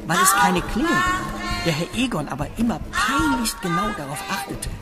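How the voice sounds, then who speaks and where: Casting: children's audio-drama narrator, lively intonation, prominent music bed. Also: medium pitch, normal speed. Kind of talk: scripted children's story narration.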